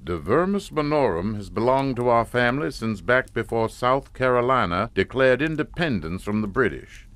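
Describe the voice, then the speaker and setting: An actor playing a courtly, sinister old plantation patriarch with a folksy Southern US drawl in an audio drama. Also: male voice, mid-range voice, animated, normal speed, hardly any pauses, a bit bright. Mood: folksy, ominous.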